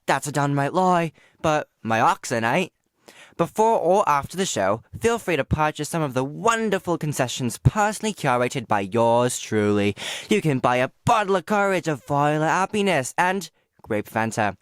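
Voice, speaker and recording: A man's voice: on the high side, no room sound, natural voice. An actor playing a cheeky, rambling male theater announcer with a Cockney accent, full of asides in a comic audio drama. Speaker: pre-show announcer.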